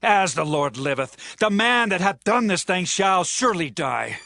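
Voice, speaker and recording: A man's voice: high-pitched, very animated, normal speed, gravelly, bright, forceful tone, exaggerated voice. An actor playing a commanding, passionate king of ancient Israel, speaking regally in a dramatized Bible audiobook. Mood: angry. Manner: regal, declamatory.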